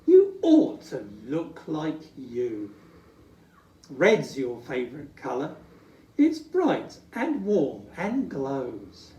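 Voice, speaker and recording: A clever, reassuring wife of Santa, as a storybook reader plays her. She is matter-of-fact and encouraging, with slow, lilting delivery in a roomy space. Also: medium pitch, wildly swooping pitch, mellow, heavily acted. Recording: echoey room, distant from mic.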